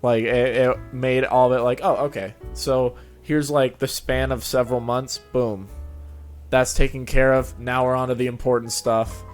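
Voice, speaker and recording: A man's voice: mid-range voice, little pitch movement, normal speed. Guy hosting a chill interview, kinda rambly and into it, music playing behind. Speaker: show host and reader of fan fiction audio. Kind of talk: casual interview chat. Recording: background music. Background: music under the talk.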